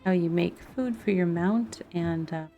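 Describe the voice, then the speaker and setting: A woman streaming a game talks slowly, with a creaky edge to her voice. She muses out loud in a casual way, and music plays behind her. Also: on the low side, lo-fi sound. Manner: thinks aloud, musing to herself. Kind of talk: casual live gameplay commentary. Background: background music and game sound.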